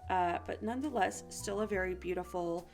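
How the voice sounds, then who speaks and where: A woman's voice: fairly low pitch, brisk, darkish tone, soft background music. A woman chatting casually and informally to viewers as a beauty vlogger reviewing products, sounding a little sick and sniffly.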